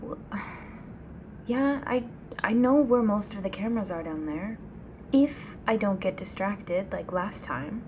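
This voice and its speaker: A woman playing a restless, anxious young woman in an ASMR audio drama, speaking softly and hesitantly, often near a whisper. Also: mid-range voice, animated, a little slow, natural voice. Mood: uneasy, hesitant. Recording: clear background noise, ambient sound.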